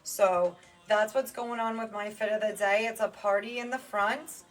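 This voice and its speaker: A woman's voice: medium pitch, fairly even intonation, normal speed, soft background music. A woman with a New Jersey accent chatting casually on a fitness livestream. She jokes around and greets viewers by name. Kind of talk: casual live-stream chat.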